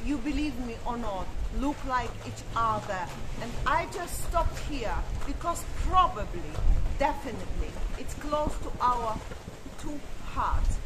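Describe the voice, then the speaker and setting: Woman, high voice, warm casual vlog chat, phone mic outdoors, noticeable background noise. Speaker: vlog co-host. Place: outdoors at a seaside harbour. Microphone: phone held at arm's length.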